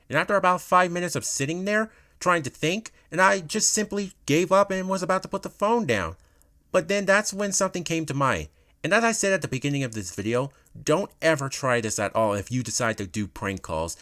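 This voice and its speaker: A man's voice: medium pitch, fairly bright tone, no room sound, studio quality. A man telling a personal story in casual storytime commentary, with informal, slangy, swear-heavy phrasing.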